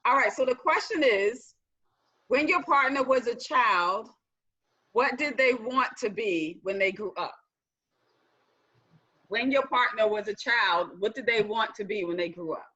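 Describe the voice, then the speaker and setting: Earnest female host with a bright voice, asks couples questions; dull recording. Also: fairly high pitch, measured pace, pauses often. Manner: earnest, reflective, leads with questions. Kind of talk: guided discussion with couples.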